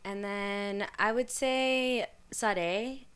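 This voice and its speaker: A young woman with Spanish as her first language talks through her answers in an easygoing, thoughtful way. Her voice is smooth and clean, set in a middle register, and she fills her speech with relaxed "like"s and "yeah"s. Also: medium pitch, very slow. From Utah. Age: young adult. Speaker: guest musician. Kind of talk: casual interview.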